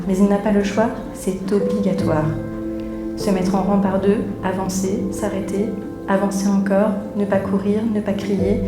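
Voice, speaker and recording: A woman, a theatre director, addressing an audience live from a theatre, in the manner of a welcome and read-aloud story. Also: mid-range voice. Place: theatre auditorium, before an audience. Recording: roomy echo, music underneath.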